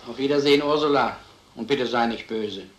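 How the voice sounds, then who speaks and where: A male police chief played by an actor speaks at a medium pitch in a roomy old recording with faint noise. He is kindly and wry, with unhurried authority. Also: close to an everyday voice. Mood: gentle. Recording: echoey, noisy old film soundtrack.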